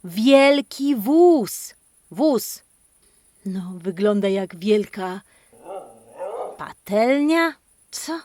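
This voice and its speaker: A woman hosting a children's podcast, telling a playful interactive story in an animated, exclamatory way, speaking straight to kids and a dog. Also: medium pitch, extremely slow, pauses often, dry recording. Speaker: host of a children's speech-exercise podcast.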